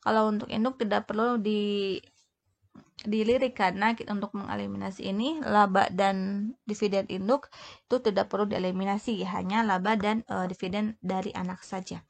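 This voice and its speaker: Woman instructor, creaky voice. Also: mid-range voice, steady tone, normal speed. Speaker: accounting instructor. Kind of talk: explanatory tutorial, semi-spontaneous.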